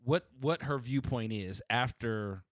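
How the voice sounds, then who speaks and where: A man hosting a casual podcast chat, profane and animated, firing off pointed questions. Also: medium pitch, slow, no room sound. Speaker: podcast host. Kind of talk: casual crude group chat.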